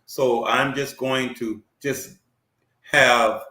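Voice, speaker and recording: A man hosting a talk show, speaking haltingly and often repeating words as he searches for what to say. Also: medium pitch, fairly even intonation, bright, forceful tone, echoey room. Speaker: host.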